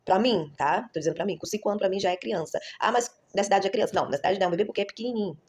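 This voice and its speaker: Fast-talking woman vlogger. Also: medium pitch, darkish tone. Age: 30s. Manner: chatty, rambling, corrects herself often. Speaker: vlogger, mother. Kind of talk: casual vlog storytelling.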